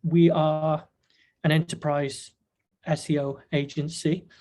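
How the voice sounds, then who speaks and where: Hoarse man hosting a webinar. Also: on the high side, flat delivery, a little mellow. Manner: halting, many fillers and restarts. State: hoarse, nearly losing his voice. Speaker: webinar host. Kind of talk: casual webinar talk. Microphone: webcam video call.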